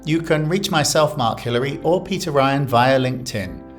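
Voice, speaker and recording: A man's voice: mid-range voice, moderate pace. Guy hosting a podcast, medium voice, bit of music faintly behind. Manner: hesitant questions with fillers. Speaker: podcast host. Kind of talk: interview questions and podcast outro.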